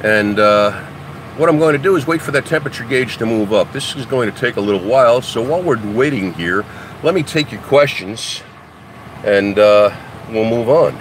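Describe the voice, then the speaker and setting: An older man, congested from a head cold, hosting a chatty car-maintenance livestream and talking with many pauses and restarts. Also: medium pitch, expressive. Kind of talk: casual livestream chat with viewers. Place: home garage or driveway beside a running car. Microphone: phone camera. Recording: loud engine noise behind.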